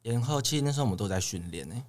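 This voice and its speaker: A man's voice: mid-range voice, expressive, very fast. A young man with a Taiwanese Mandarin accent, telling stories casually as an interview guest. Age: young adult. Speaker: guest, idol trainee.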